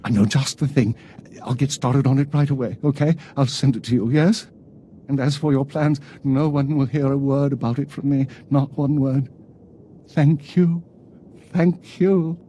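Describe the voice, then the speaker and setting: An actor playing a nervous, cowardly inventor in a video game, anxious and pleading. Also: male voice, medium pitch, steady tone, a little slow, dark tone, slight background noise, close to an everyday voice. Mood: eager, grateful.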